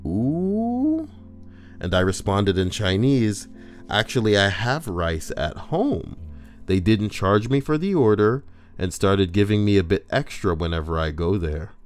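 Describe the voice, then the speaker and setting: A man's voice: fairly low pitch, big pitch swings, measured pace, faint music behind. A man casually reading forum posts aloud on a livestream, breaking in with crude, joking asides.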